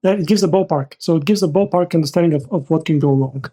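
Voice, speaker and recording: Mellow-voiced man explaining things carefully. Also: on the high side, fast, husky. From Bulgaria. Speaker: podcast guest, risk manager. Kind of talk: explanatory interview talk.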